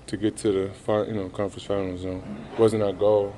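Subdued low-voiced man at a postgame presser. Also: level tone, warm tone, slight background noise. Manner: halting, many fillers, subdued and measured. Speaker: basketball player at a postgame press conference. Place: arena press room, indoors. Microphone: reporters' handheld mics held close.

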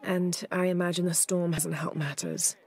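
Composed, low-voiced adventurer. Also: female voice, steady tone, moderate pace, darkish tone, slightly rough. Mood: calm, sympathetic. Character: composed young woman adventurer and researcher, quietly determined. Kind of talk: video game cutscene acting.

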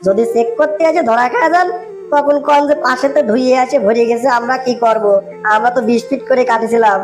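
Woman as a high-pitched, cheeky male underling. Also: brisk, soft background music, lightly acted. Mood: knowing, cheeky. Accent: northern Bangladeshi. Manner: needling, deferential yet challenging. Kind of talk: comic cartoon dialogue.

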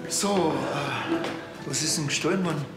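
A man's voice: on the high side, unhurried, close to an everyday voice. An actor playing a sarcastic village policeman in a TV drama, with a Bavarian accent and dry, sardonic manner. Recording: echoey room, music behind.

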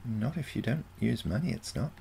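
A man voicing a calm, confident teenage granddaughter from the future, matter-of-fact and explanatory, in a storybook. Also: medium pitch, moderate pace, vocal fry, a little hiss or hum, voice pushed up. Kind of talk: storybook character dialogue.

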